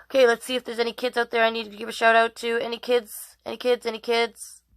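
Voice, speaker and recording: A woman's voice: a little high, monotone, quick pace, smooth. A woman hosting a children's storytime, chatting directly with viewers and reading picture books aloud in a casual way. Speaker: storytime host and reader.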